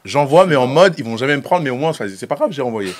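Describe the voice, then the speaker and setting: A man's voice: mid-range voice, animated, fast. A young man from the Central African Republic with a Central African French accent, a film director telling stories as an interview guest, laughing often and tripping over repeated words. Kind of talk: casual interview chat.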